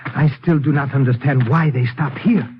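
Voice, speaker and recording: An actor playing an earnest, loyal local guide in a radio adventure, speaking careful, formal English. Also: male voice, mid-range voice, moderate pace, natural voice. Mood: puzzled, earnest. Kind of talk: old-time radio adventure acting. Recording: muffled, noisy old radio recording with clicks.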